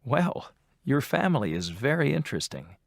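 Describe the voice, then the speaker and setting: An adult voice playing an interviewer in a scripted textbook dialogue, asking friendly questions with measured delivery. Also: medium pitch, very animated, creaky, no room sound, studio quality. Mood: plain.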